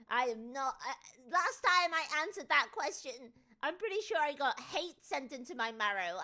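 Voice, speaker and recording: A woman with a Northern English accent, chatting casually on a live stream and answering viewers, with sudden excited shouts. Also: sits high. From Northern England. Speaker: VTuber streamer.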